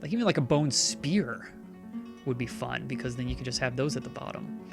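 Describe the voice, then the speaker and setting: A man's voice: mid-range voice, a little fast, gravelly, over loud music. A man streaming a video game, chatting loosely and sarcastically talking back to the characters on screen. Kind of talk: rambling live-stream commentary.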